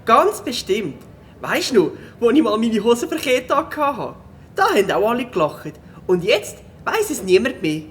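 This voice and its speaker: A woman's voice: a little high, very animated, moderate pace, slight background noise. A woman voicing a kind, cheerful young beaver schoolboy in a children's audio drama, with a Swiss German accent. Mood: comforting. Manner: comforting, upbeat.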